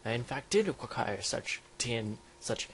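A man plays a pompous old-fashioned gentleman explorer with a put-on British accent. He is formal and polite, easily flustered, and repeats his phrases as he comments on a video game. There is light noise and keyboard clatter on the recording. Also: mid-range voice, very animated, measured pace. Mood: pompous, genteel.

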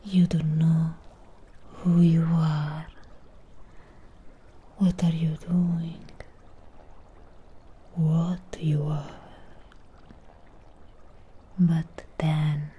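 A woman playing a possessive, adoring Frankenstein's creature girl in a soft ASMR roleplay, whispering breathily and tenderly up close. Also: low-pitched, slight background noise. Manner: tender, slow, deliberate, halting short phrases. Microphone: close ASMR microphone.

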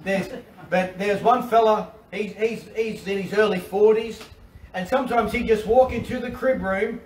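A middle-aged man with an Australian accent, preaching an animated sermon from a covered outdoor deck, with repetitions and restarts. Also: high-pitched, moderate pace, slight background noise. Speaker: pastor. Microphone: far camera. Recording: roomy and distant with faint ambience.

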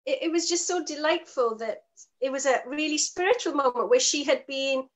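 A woman in her forties with a Welsh accent, a guest poet reading her work and chatting softly on a video call from a room at home. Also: sits high, moderate pace. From Wales. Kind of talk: poetry reading and chat.

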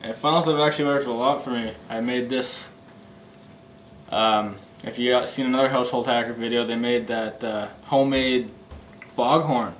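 A man's voice: mid-range voice, steady tone, moderate pace, a bit bright. Young man, casual, on a noisy recording. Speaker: hobbyist demonstrating a homemade gadget. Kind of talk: casual show-and-tell. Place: indoor room with a pool table. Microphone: camera mic an arm's length or more away. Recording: noisy amateur camera microphone.

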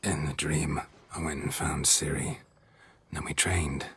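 An actor playing a stoic, brooding male monster hunter in a video game, terse and understated, with a husky, raspy voice. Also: level tone, measured pace, fairly bright tone, heavily acted. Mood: brooding, worried. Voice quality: very low, husky rasp. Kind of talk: video game dialogue acting.

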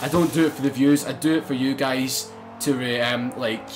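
A young man commentating a racing game let's play, excitable and swearing, bursting into loud exclamations. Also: medium pitch, moderate pace, over music. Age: young adult. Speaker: gaming channel host.

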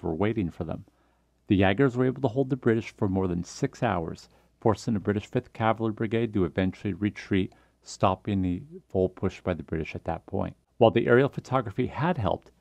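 A man's voice: lowish voice, gravelly, a little mellow, no echo. A man reading a scripted history documentary narration as the narrator.

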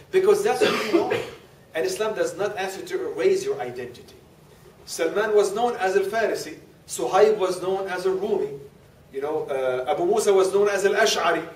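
A man's voice: high-pitched, darkish tone. A man in his forties giving a conversational classroom lecture while standing and gesturing, laughing easily. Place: classroom with display boards. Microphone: far camera. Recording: echoey room, distant camera.

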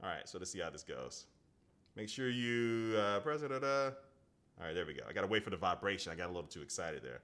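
A man's voice: deep voice, sing-song, a little fast, fairly bright tone. A man in his thirties hosting a casual live-stream phone unboxing, chatting loosely with viewers and reading their comments aloud. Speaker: tech reviewer. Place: indoor home studio at a desk.

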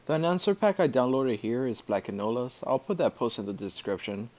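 A man narrates a screen-recorded how-to in a casual, unpolished way. His voice sits at an ordinary middle pitch. He explains things step by step, halting now and then as he finds his words. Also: very animated, darkish tone. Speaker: tutorial maker.

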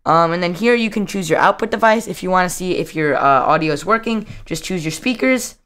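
A young man narrating a casual software tutorial as the host of a video. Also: sits high, expressive, a little fast, smooth.

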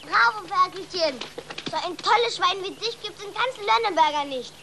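A clever, self-assured little farm boy, with a very high voice. He speaks in an earnest, matter-of-fact way over clear animal noise from the farm. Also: animated, moderate pace, exaggerated voice. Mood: proud, coaxing. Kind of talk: German-dubbed children's film acting.

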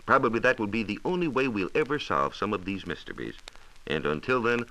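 Deep-voiced American man, vintage radio science talk. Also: animated, sharp tone, rough recording. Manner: measured, explanatory, formal wording. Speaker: science reporter on a radio show. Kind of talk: 1940s radio science talk, part scripted answers. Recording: 1940s radio transcription disc.

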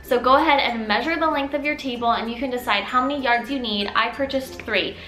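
A woman's voice: on the high side, brisk, fairly bright tone, echoey room, soft background music. A young woman vlogging a chatty, encouraging DIY tutorial at home, her voice a little scratchy from a cold. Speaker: mom vlogger. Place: living room at home.

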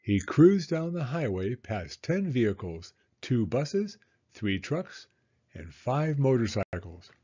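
A man reading a children's storybook aloud as narrator, with expressive, deliberate phrasing and slightly dull sound. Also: mid-range voice, husky.

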